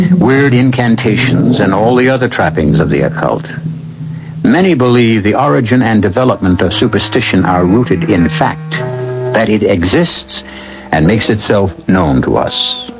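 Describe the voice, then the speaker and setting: Low-voiced, grave male radio host. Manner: grave, measured, rhetorical. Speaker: host and narrator. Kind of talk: dramatic scripted radio narration. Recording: muffled vintage radio broadcast. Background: background music.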